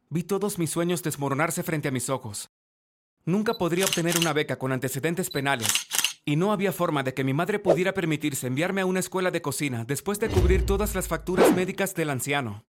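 A man reading a scripted first-person story as narrator. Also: on the high side.

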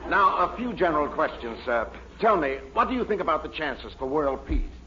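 An actor playing an earnest, awestruck newspaper reporter in a 1940s radio comedy, recorded with an audience on muffled, noisy equipment. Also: male voice, fairly high pitch, sing-song, moderate pace, crowd noise. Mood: earnest, inquiring. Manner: deferential, admiring.

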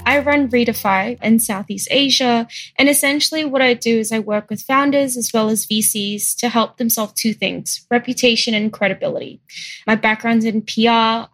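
A woman's voice: on the high side, a bit bright, no room sound. A woman, a company founder answering interview questions in a matter-of-fact, conversational way. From Australia. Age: 20s.

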